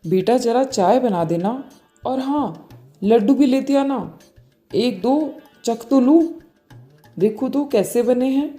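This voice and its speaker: Storybook role: older mother-in-law played by a woman, bossy, suspicious, scolding, high-pitched yet mellow. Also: animated, slow. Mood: instructing. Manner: commanding, sarcastic, scolding. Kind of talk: moral storybook dialogue. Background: faint music.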